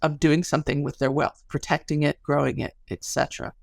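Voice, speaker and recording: Middle-aged American woman with creaky voice. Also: low-pitched, little pitch movement, brisk, no room sound, studio quality. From Chicago area, United States. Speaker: interview guest, sociologist. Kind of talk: conversational explanatory storytelling.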